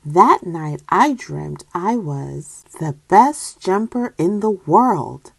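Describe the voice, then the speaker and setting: A woman reading a children's picture book aloud in a deliberate, expressive storytelling manner. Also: on the low side, creaky, husky, dry recording.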